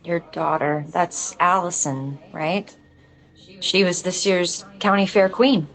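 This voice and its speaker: A woman's voice: on the low side, takes it slowly, low-quality recording. A woman playing a composed, wry police lieutenant with a dry, placating manner in a film table read. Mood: calm, inquiring.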